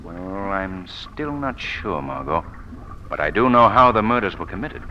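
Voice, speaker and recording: Mysterious crime-fighting man, low voice, animated delivery, authoritative, deliberate, vintage radio thriller, noisy recording. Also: lo-fi sound, heavily acted. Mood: confident, instructing. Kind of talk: old-time radio drama acting.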